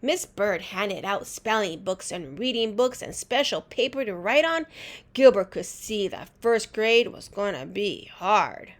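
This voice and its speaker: Slow, lively woman reading a storybook. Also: mid-range voice. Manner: expressive, deliberate storytelling for young children. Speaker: teacher reading a children's book aloud. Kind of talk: animated storybook read-aloud.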